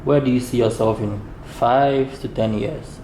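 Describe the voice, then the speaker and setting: A man asking questions off camera in a dry, matter-of-fact, low-key way. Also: steady tone, a little slow, warm tone. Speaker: off-camera interviewer. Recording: echoey room with some background noise.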